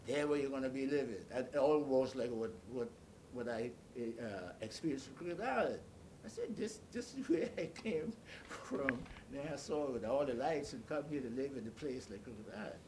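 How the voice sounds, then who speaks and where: A man's voice: lively intonation, darkish tone. Older man reminiscing, noisy recording. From Bahamas. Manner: storytelling, halting, searching for words. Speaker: interviewee. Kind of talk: oral history reminiscence. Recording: clearly noisy, low rumble behind.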